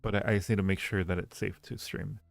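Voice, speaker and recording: Low, creaky-voiced man chatting on stream. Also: level tone, fast, no room sound. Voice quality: low-pitched, gravelly creak. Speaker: livestream host reading viewer chat. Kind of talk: casual livestream chat with viewers.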